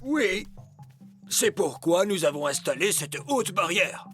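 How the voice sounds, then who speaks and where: A man's voice: sits high, expressive, takes it slowly, fairly bright tone, soft background music, heavily acted. A man voicing an earnest, cautious adult elf farm worker in a children's cartoon, explaining things emphatically. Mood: grave warning. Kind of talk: animated children's cartoon dub.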